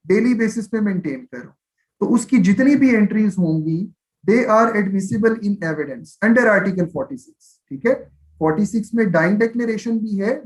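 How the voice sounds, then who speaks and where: A Pakistani man with a distinctly high voice teaches a class in an explanatory way. He moves between two languages as he goes, reading formal passages in a measured manner and dropping in frequent little tags to check that students follow. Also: poor audio. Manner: switches between Urdu and English. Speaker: law lecturer. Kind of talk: live exam-prep lecture, explaining and reading out statutes.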